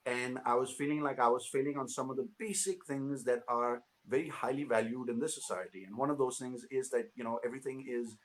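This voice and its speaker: A man's voice: mid-range voice, a little fast, hardly any pauses, low-quality recording. A man in his late thirties from Pakistan, an interview guest speaking thoughtfully with many fillers and restarts. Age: 30s. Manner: thoughtful, many fillers and restarts, slips into Hindi-Urdu phrases. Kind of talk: reflective conversational interview.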